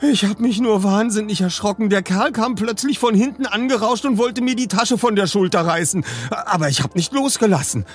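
A man puts on a shaken young woman for an audiobook. The pitch is lifted and lively, with a startled, earnest delivery. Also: unhurried, slightly rough, soft background music, heavily acted. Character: shaken young woman in her twenties, friendly. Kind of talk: audiobook character voice.

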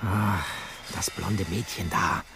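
An actor playing an easygoing, excitable teenage boy detective in a radio play, casual and expressive. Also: sits low, takes it slowly, creaky, a little hiss or hum, heavily acted. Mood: flustered. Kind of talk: German radio play acting. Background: music.

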